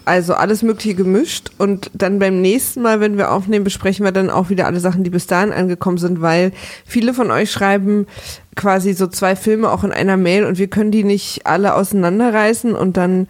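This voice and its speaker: A woman's voice: mid-range voice, steady tone, brisk. A young woman co-hosting a podcast, chatting casually and reading out listener mail, teasing and laughing often. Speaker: podcast co-host.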